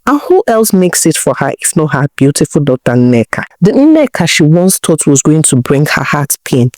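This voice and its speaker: A woman with a Nigerian accent narrating a folktale in a deliberate way, repeating phrases for emphasis. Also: low voice, big pitch swings, a little mellow, no room sound, clean studio sound. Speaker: storyteller, narrator.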